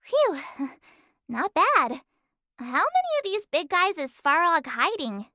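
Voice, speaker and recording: A woman voicing a bubbly, girlish young adventurer in a video game, expressive and bright. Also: very high-pitched, slow pace, dry recording, studio quality, heavily acted. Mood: relieved, playful. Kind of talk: scripted video game voice acting. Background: faint game music.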